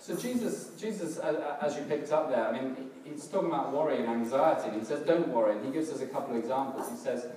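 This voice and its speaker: A middle-aged man with a slightly hoarse, crackly voice, preaching a casual church sermon in a relaxed, self-deprecating way with many fillers and restarts. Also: medium pitch, fast, few pauses. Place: church hall, in front of a congregation. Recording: distant, very echoey hall with background noise.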